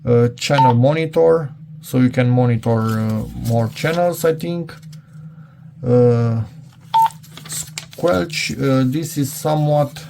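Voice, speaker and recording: A man's voice: medium pitch, slow, creaky, background noise. A middle-aged man giving a casual, unscripted product demonstration, hesitant and rambling as he thinks aloud. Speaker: product reviewer.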